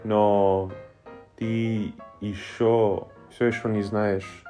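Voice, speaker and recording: A man from Colombia, chatting casually and haltingly, switching between basic Russian and English. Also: fairly low pitch, flat delivery, mellow, faint music behind. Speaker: vlogger's husband. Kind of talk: casual chat.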